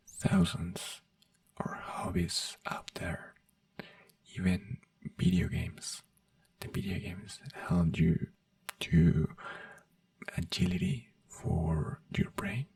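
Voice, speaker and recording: Slow whispering man, soft and far. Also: low voice, huge pitch swings, gravelly. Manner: slow, gentle whispering in non-native, halting English. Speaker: ASMR creator playing a doctor. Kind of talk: whispered ASMR health advice.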